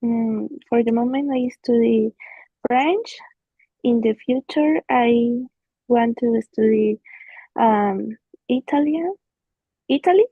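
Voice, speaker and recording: Female native Spanish speaker learning English, hesitant and slow, husky voice, tinny line. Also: fairly high pitch, dry recording. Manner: halting, simple learner English. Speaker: English student. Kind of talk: hesitant answers in online class. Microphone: online class call. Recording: tinny phone-like roomless online call.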